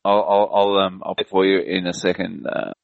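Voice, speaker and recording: Very deep gravelly host. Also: male voice, flat delivery, fairly quick, no room sound. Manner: loose and rambling, many restarts. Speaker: podcast host. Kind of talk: casual online chat. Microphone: video call. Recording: dry lo-fi call audio.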